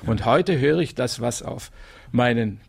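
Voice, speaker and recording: Creaky-voiced man telling an anecdote. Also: mid-range voice, measured pace. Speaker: music journalist being interviewed. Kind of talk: anecdotal storytelling.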